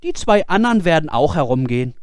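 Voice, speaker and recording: A fearless young lad from a storybook, acted out by a male reader, pitched high and swooping freely. He is naive, bold and carefree, exclaiming cheerfully as if nothing could bother him. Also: very animated, brisk, clean tone, dry recording, clean studio sound. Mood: cheerful bravado. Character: cheerful fearless naive youth, boastful and unbothered. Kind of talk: fairy-tale storybook character voice.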